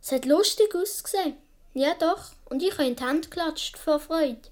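High-voiced Swiss boy. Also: expressive, moderate pace, heavy background noise. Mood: absorbed storytelling. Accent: Swiss German. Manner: childlike, vivid storytelling. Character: young Swiss boy of seven or eight recounting childhood adventures. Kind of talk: first-person radio play narration.

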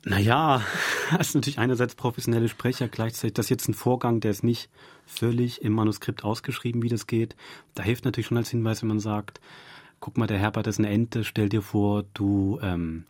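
A man speaks as a director in an explanatory studio interview. His voice is lowish and husky and he talks quickly, thinking through examples. The sound is close and dry. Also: steady tone, darkish tone, no room sound. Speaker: radio drama director, guest.

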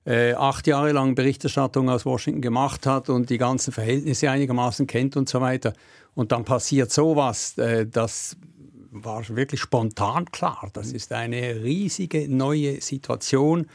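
A man's voice: mid-range voice, unhurried, gravelly, dry recording, studio quality. An older man, a veteran correspondent giving emphatic, reflective commentary in an interview. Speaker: former Washington correspondent, interview guest.

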